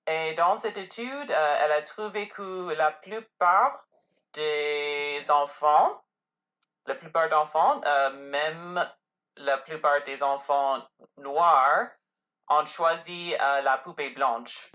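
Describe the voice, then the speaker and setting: Guy interviewing on a podcast, kinda slow and halting, really bright voice. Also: on the high side. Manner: hesitant, halting phrasing. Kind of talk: conversational interviewing.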